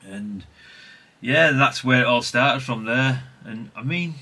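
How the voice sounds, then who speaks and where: A man's voice: mid-range voice, slow, pushed and bright. A middle-aged man from chats unscripted as a hobbyist vlogger, rambling and conversational with repeated catchphrases. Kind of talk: unscripted casual chat, showing an item.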